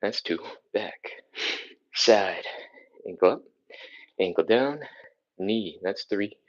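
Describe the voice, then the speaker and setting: A man's voice: lowish voice, slow pace. A man leading a live stretching workout, calling short rep cues with sighs and laughs while sniffly from a cold. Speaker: stretching instructor. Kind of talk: live-streamed workout coaching. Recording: slightly dull, lo-fi stream audio.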